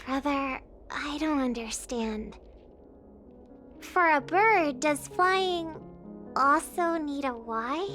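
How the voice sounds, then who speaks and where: An actress voicing a gentle, earnest and wistful young woman in a video game, speaking softly and with measured care. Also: high voice, expressive, exaggerated voice. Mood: wistful, hopeful. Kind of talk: video game voice acting, English dub. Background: background music.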